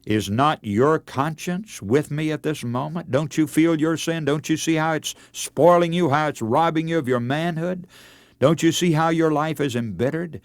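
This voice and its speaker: A man's voice: on the high side, normal speed, no room sound. An older man, an evangelist, preaching a dramatic radio sermon in a fervent, oratorical manner, building on repetition and rhetorical questions.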